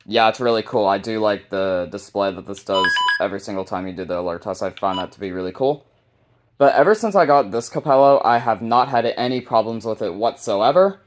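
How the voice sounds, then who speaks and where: A man hosting a casual, unscripted hobby video showcase, talking informally and a bit haltingly. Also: lowish voice, heavy background noise. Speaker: hobbyist video host showing a radio collection.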